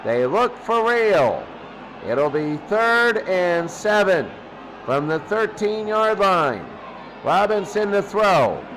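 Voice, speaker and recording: A man doing excitable play-by-play commentary of a football game, repeating phrases and drawing out his calls. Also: sits high, takes it slowly, rough recording. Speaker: sports commentator.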